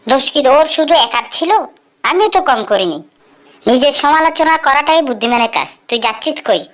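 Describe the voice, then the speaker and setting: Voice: sits high, lively intonation, brisk, fairly bright tone. A performer with a woman-like voice plays a stern, sensible elder mouse uncle in a cartoon dub, admonishing and giving advice. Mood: scolding. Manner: admonishing, instructive.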